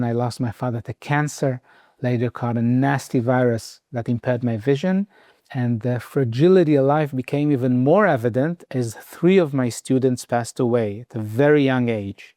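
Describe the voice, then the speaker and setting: A man in his forties with an Israeli accent, his first language Hebrew, narrates in a calm, measured way. His voice sits at an ordinary middle pitch. The recording is dry and close, with no room around it. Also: dry recording. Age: 40s. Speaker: meditation teacher. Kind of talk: scripted personal narration.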